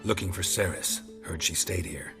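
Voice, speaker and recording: An actor playing a gruff, grizzled monster hunter in a video game, speaking in short, flat lines. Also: male voice, normal speed, over music. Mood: dry. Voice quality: low, flat, terse delivery.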